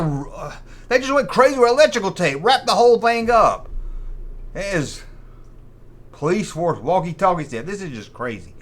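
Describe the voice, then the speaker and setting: American man, middle-aged, lively swooping delivery, folksy sarcastic toy-channel host. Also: fairly high pitch. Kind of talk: casual humorous commentary.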